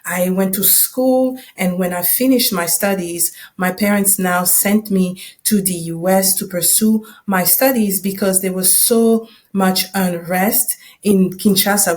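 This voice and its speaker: A woman's voice: on the low side, a little mellow. A woman, an English speaker, telling a personal story to listeners as a podcast host in a conversational way, often checking in as she goes. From Democratic Republic of the Congo. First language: not English. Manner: conversational, checking in with "right?" and "you know". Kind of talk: personal testimony told to listeners.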